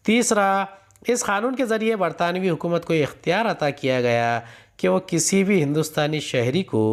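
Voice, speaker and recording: Higher-pitched man reading out a lesson. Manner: formal, measured reading of point-by-point answers. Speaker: teacher dictating history answers. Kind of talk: scripted lesson, reading numbered points aloud.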